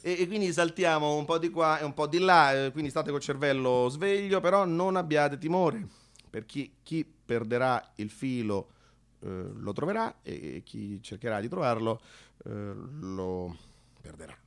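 A man performing a theatrical radio monologue, conversational and halting, repeating phrases and swinging from hushed to excited. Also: on the high side, smooth. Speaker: solo narrator-actor.

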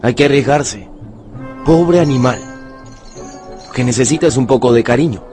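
A man's voice: mid-range voice, normal speed, darkish tone, faint music behind, natural voice. A man playing a principled, kind but firm miner in a radio drama, earnest and commanding. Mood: compassionate, resolute. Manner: earnest, commanding yet compassionate.

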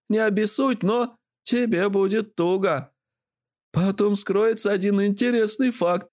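A man's voice: high voice, voice pushed up. A sly old granny from a supernatural storybook, acted out by a man, speaks well above a man's usual register. She is bossy and secretive, with a folksy, mocking way of scolding whoever she talks to. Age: older.

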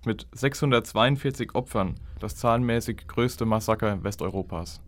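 Documentary commentator male actor for radio play: lowish pitch, factual and explanatory, bone-dry studio sound. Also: normal speed, dry recording, lightly acted. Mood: sober, explanatory. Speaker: explanatory narrator. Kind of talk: scripted documentary narration.

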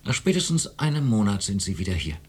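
A man playing a self-righteous, domineering chief psychiatrist in a radio drama, authoritative and dismissive, with a husky voice. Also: on the low side, very animated, fast talker. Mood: stern, condescending.

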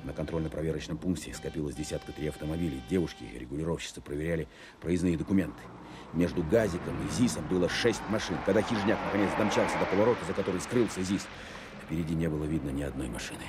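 Army captain, bass register, rapid matter-of-fact radio-drama narration, vehicle noise. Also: male voice. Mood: focused, tense. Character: businesslike army captain recounting a car pursuit. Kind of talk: first-person radio play narration. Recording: clear vehicle and road noise behind.

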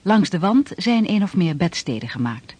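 A man's voice: high voice, sing-song, moderate pace, a little mellow. A man reading scripted, descriptive narration within a radio play.

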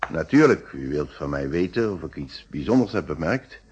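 A man's voice: rumbling low, sing-song, normal speed, muffled recording, slight background noise. An actor in a Dutch radio play playing a polite, self-assured married businessman who speaks precisely and logically. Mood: composed, precise. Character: composed, hospitable, logical married businessman being questioned.

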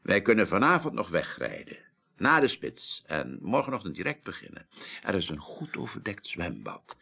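A man's voice: low-pitched, wildly swooping pitch, moderate pace, vocal fry, bright, forceful tone, slightly rough, exaggerated voice. A man playing a middle-aged parliamentary chairman in a radio drama, formal, authoritative and self-assured. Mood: businesslike. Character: self-assured, genial but demanding male parliamentary chairman. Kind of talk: 1980s Dutch radio drama acting.

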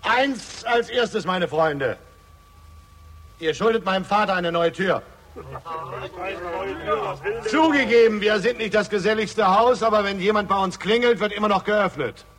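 A man's voice: high-pitched, a little slow, pauses often, a bit bright. An actor playing a defiant, sardonic accused man in a theatrical, oratorical 1960s German radio drama style. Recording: 1960s radio play, long hall reverb.

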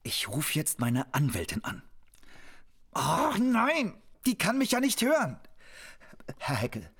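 A husky, aggrieved man, halting and indignant. His pitch moves in big swings, in an absurd radio play. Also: wildly swooping pitch, a bit bright, heavily acted. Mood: indignant, flustered. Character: hesitant, aggrieved man demanding his stolen voice back. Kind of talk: absurd comic radio drama acting.

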